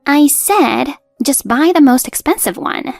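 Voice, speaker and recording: A haughty older mother-in-law acted by a female narrator in a family drama story, demanding and scornful. The voice is high and creaky, recorded very dry. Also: big pitch swings, a little slow, dry recording, soft background music, exaggerated voice. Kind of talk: scripted story dialogue.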